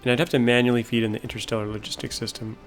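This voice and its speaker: A man narrating his own play session in a relaxed, musing way, his voice a bit worn from talking all day. His pitch sits in an ordinary middle range. Soft game music and keyboard clicks play faintly behind him. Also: rapid-fire. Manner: musing, thinking aloud while playing. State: losing his voice. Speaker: gameplay video host. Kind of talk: casual let's-play commentary.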